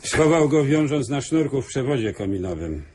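A man's voice: mid-range voice, sing-song, moderate pace, darkish tone, a little hiss or hum, lightly acted. An actor playing a deferential, streetwise police officer in a radio play, starting hesitantly as he reports. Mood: eager, reporting.

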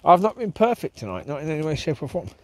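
A man's voice: on the high side, big pitch swings, fast, a little mellow. A man talking casually to camera as he walks his dogs through a park at night, reflective and rambling. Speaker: vlogger walking his dogs. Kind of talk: casual walk-and-talk monologue. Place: park path at night, outdoors. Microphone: handheld camera while walking.

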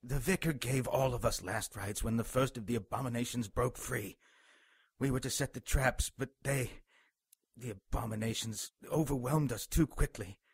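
A man's voice: medium pitch, normal speed, breathy edge. A man playing a dying, bitter, pious monk in a radio play, stern and fatalistic. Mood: weary, pleading. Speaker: radio drama actor. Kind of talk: dramatic radio play storytelling.

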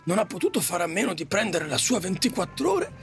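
Man acting a high, ranting taxi driver. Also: sing-song, fairly quick, creaky, exaggerated voice. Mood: exasperated. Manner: ranting, theatrical. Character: exasperated foul-mouthed taxi driver, male, recalling his life. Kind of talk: audio drama acting. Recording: faint music behind.

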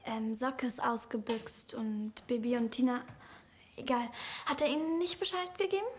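A woman's voice: on the high side, normal speed, a little hiss or hum, natural voice. A woman playing a prim, scheming teenage boarding-school girl in a children's audio drama. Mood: feigned innocence.

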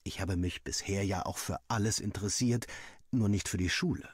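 A man with a fairly low, husky voice narrates a first-person story. He reads expressively and takes his time over the scripted lines. The recording is very dry and close. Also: normal speed, no echo. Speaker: narrator reading a Minecraft story aloud.

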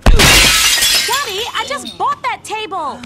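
Gangster's girlfriend, video game, scolding, sarcastic, high, very animated. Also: slow pace, over loud music, full character voice. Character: exasperated, sassy female gang member's girlfriend. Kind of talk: video game cutscene acting. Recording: clearly noisy background.

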